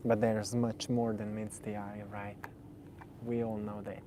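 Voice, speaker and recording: A man in his twenties whose first language is Slovenian, talking reflectively to camera. He speaks gently and searchingly, repeating phrases as he builds a thought. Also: lowish voice, flat delivery, a little slow, background noise. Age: 20s. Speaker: spiritual video host. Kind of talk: reflective spoken monologue.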